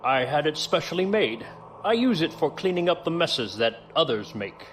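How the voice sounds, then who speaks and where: An actor playing a cold, arrogant imperial colonel in a science fiction audio drama, self-assured and menacing. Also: male voice, a little high, very animated, normal speed, fairly bright tone, a little hiss or hum. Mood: smug, menacing. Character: cold, arrogant imperial special operations colonel, male villain. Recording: music under the voice.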